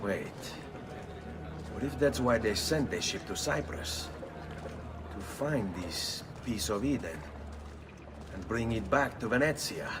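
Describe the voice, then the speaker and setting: An actor playing a brooding, vengeful young Italian assassin in a video game, speaking gravely with an Italian accent. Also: male voice, on the low side, expressive, takes it slowly, long pauses, distant mic, background noise. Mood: earnest, intent. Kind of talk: video game cutscene acting.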